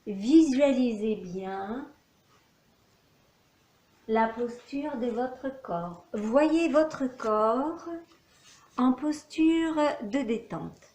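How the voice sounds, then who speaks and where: A woman teaching yoga nidra, giving calm, measured relaxation instructions with long pauses. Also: on the high side. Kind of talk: guided relaxation meditation. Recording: roomy echo, faint background noise.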